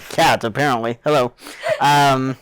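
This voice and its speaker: A man's voice: medium pitch, fairly even intonation, unhurried, fairly bright tone, smooth, rough recording. A man chatting casually as a player in a tabletop role-playing game.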